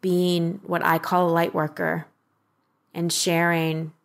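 A woman with a voice a little on the low side speaks as a life coach and podcast host. She explains things in an instructive way and encourages the listener. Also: little pitch movement, takes it slowly, creaky.

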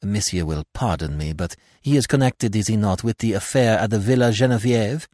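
A man voicing an excited, deferential male hotel waiter who talks politely and eagerly. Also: fairly low pitch, brisk, no room sound, studio quality, natural voice. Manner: hesitant and eager.

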